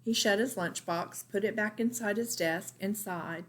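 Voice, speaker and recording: A woman's voice: mid-range voice, moderate pace. A woman teacher reading a picture book aloud expressively for young children. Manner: expressive, pointing out pictures to listeners.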